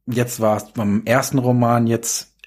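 Husky-voiced man chatting casually. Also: mid-range voice, little pitch movement, measured pace, a little mellow. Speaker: author, podcast guest. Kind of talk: casual chat.

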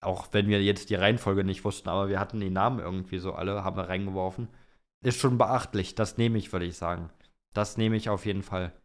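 Low-voiced young man chatting on a podcast. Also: fairly even intonation, fast. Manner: informal, rambling, thinking aloud. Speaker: podcast co-host. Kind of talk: casual podcast chat.

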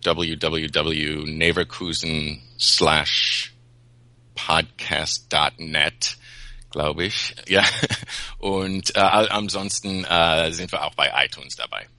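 A man's voice: extremely low pitch, fairly even intonation, slow pace, pushed and bright, no room sound. A man from Peru, a podcast guest chatting casually in German, with many fillers and restarts. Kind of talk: casual chat.

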